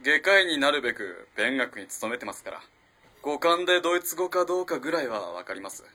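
A man acts out a young male student in a radio drama. His bright, strained voice has a husky edge and leaps about in pitch. He is evasive and meek, stammering through polite, hesitant phrases. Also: brisk, heavily acted. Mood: awkward, hesitant. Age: young adult. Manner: stammering, hesitant, excitable when cornered. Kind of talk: Japanese radio drama acting.

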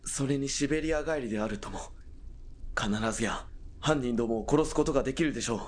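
An actor playing a dutiful young military police corporal in a radio drama, speaking in a formal, stiff, polite military manner. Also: male voice, medium pitch, fast, close to an everyday voice. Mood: deferential.

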